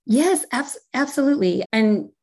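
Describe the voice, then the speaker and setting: A woman's voice: a little high, lively intonation, normal speed, darkish tone. A woman speaking casually as a podcast guest, earnest and encouraging in her answers. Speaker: podcast guest, corporate strategy director.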